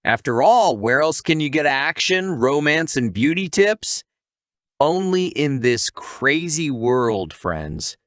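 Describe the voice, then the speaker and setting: Male recap narrator, slightly high and very lively, unhurried, wisecracking, recorded close and dry. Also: dry recording. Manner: wisecracking, conversational asides to the listener. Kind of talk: scripted comic story recap narration.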